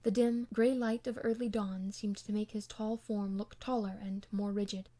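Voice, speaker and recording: A woman's voice: medium pitch, level tone, moderate pace, warm tone. A woman reading a classic novel aloud as an amateur audiobook narrator, in scripted literary style.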